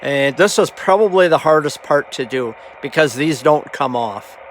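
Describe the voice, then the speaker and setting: A man giving a casual, halting, rambling hands-on demonstration as a hobbyist presenter. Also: fairly high pitch, expressive. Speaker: hobbyist presenter showing his CB radio. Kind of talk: casual hands-on show-and-tell. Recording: noisy amateur camera audio. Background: clear background noise.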